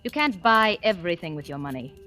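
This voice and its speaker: An actress playing a gracious but reproachful society wife in 1940s radio drama, with a refined manner. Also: female voice, fairly low pitch, expressive, fairly quick, sharp tone. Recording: old radio recording with music.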